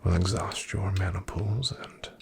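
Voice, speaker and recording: A gentle paladin companion, played by a man, whispering softly and slowly to the listener. The hushed voice sits fairly low and carries heavy vocal fry. It is an intimate, unhurried ASMR roleplay delivery. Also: fairly even intonation, slight background noise. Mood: calm hushed. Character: calm, careful male paladin travel companion.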